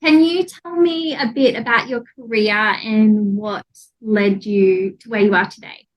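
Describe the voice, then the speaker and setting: A woman's voice: medium pitch, a little slow, low-quality recording. A woman with an Australian accent, speaking as a podcast host in a friendly interview style. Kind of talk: friendly interview with a scripted introduction.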